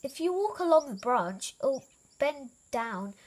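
Woman reading as a kindly teasing storybook elephant, unhurried, lilting, kinda high. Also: sing-song. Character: patchwork elephant, calm kindly leader, gently teasing.

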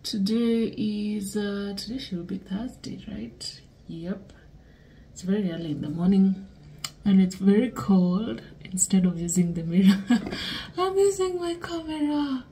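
A woman's voice: mid-range voice, a little slow. A young woman chatting casually to her viewers in a lifestyle vlog, filmed at home with a handheld camera. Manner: relaxed, chatty, talks to viewers as "guys". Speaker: lifestyle vlogger. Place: home kitchen and dressing room, indoors.

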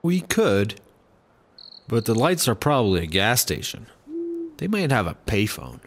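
A man's voice: on the low side, very animated, measured pace, slight background noise. An actor playing an uptight, anxious cop, the fretful straight man of a comedy audio drama. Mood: matter-of-fact.

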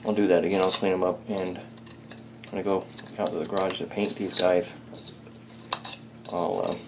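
Male hobbyist, low creaky voice, hesitant and casual workshop talk, noticeable background noise. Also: little pitch movement, normal speed. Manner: hesitant, thinking aloud. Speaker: hobbyist restorer, video host. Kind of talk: casual hands-on workshop chat. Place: small indoor home workshop.

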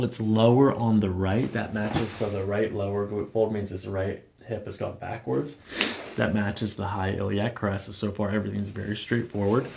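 A very gravelly American man with a dark, relaxed voice talks through a chiropractic exam. He explains calmly and puts short, clipped questions to the patient. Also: lowish voice, slight background noise. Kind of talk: hands-on clinical exam narration, ASMR. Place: small carpeted clinic office, indoors.

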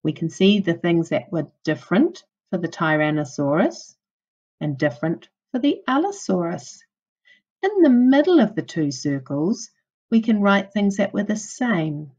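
A woman primary teacher reading a non-fiction book aloud to young children, with clear, instructive phrasing. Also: lowish voice, expressive, a little slow, darkish tone, muffled recording.

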